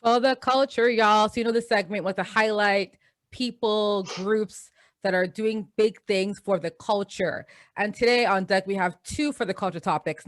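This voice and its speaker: A woman's voice: medium pitch, a bit bright, no echo. Upbeat Trinidadian woman co-host. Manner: chatty and upbeat, many fillers. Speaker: podcast co-host. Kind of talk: casual podcast chat.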